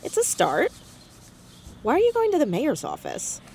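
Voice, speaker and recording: Teen girl sleuth, highish and very lively, earnest and nosy, audio drama with background ambience. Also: normal speed, vocal fry, a little hiss or hum, heavily acted. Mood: upbeat, curious. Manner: inquisitive, earnest. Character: curious, upbeat fourteen-year-old girl amateur sleuth. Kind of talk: naturalistic audio drama dialogue.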